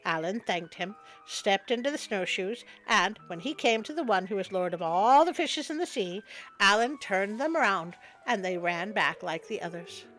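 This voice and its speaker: A woman's voice: mid-range voice, expressive, moderate pace, a bit bright, soft background music, low-quality recording. A woman storyteller narrating a folktale expressively, with wry spoken asides. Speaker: storyteller, host.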